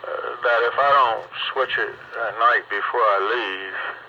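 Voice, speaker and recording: A man's voice: mid-range voice, measured pace. A man chatting casually over hobby radio, using folksy radio lingo. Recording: dull, very noisy radio transmission. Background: loud radio noise.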